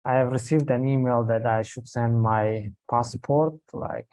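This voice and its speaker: A man's voice: medium pitch, steady tone, measured pace, darkish tone, no echo. Man from Tajikistan asking questions on a call. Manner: hesitant, explains at length with fillers. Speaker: prospective student asking questions. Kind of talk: online call Q&A.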